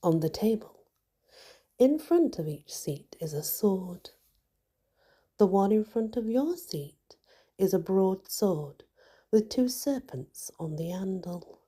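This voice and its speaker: A woman softly whispering a scripted guided meditation in a hushed, soothing ASMR style, with many pauses. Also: medium pitch, expressive. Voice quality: soft, breathy, mostly whispered.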